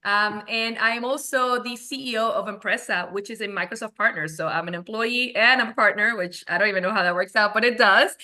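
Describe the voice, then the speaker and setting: Woman, from Venezuela, forties, guest founder, crisp bright tone, animated storyteller. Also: medium pitch, brisk, hardly any pauses. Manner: animated, acts out her own past thoughts. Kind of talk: conversational interview answers.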